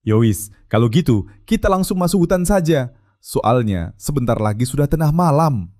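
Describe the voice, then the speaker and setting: A man doing a drowsy, rambling old shaman. The voice is mellow and dark, recorded close and dry for a cartoon drama. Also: mid-range voice, lively intonation, normal speed, no echo, natural voice. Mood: serious. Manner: sleepy, rambling, folksy. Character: old male village shaman, drowsy, easygoing. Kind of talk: animated cartoon voice acting.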